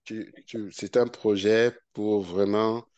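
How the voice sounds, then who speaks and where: A man's voice: lowish voice, flat delivery, slow, a little mellow, no room sound, dull audio. A man hosting an interview, often saying brief acknowledgements and rephrasing his questions. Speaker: host.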